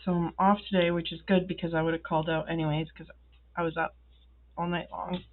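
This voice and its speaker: A woman who is ill and congested, chatting slowly while she fills in her planner at a desk, with many ums and pauses. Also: lowish voice, little pitch movement, narrow, tinny audio. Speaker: planner vlogger. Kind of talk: casual talk while filling in a planner. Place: indoors at a wooden desk.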